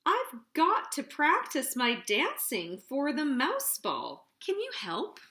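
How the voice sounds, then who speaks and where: A woman voicing a lively, polite female mouse in a children's storybook, eager and sing-song. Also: sits high, slow pace, echoey room, pitched above the performer's own voice, heavily acted. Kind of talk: children's storybook character reading.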